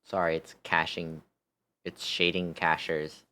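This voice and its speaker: A man's voice: low voice, level tone, measured pace, sharp tone. A tired man hosting a livestream, chatting casually and thinking aloud as he goes. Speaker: livestream host.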